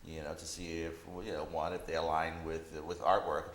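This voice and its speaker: A man's voice: extremely low pitch, level tone, a little fast. A man chatting casually and reflectively as a visual artist, often saying "you know". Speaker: visual artist being interviewed. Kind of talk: casual conversation. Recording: roomy echo, faint background noise.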